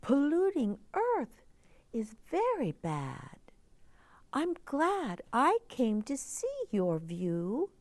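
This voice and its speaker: A woman voicing an earnest, concerned young girl from a storybook, speaking softly in rhyme. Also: high voice, huge pitch swings, extremely slow, no echo, pitched above the performer's own voice, exaggerated voice.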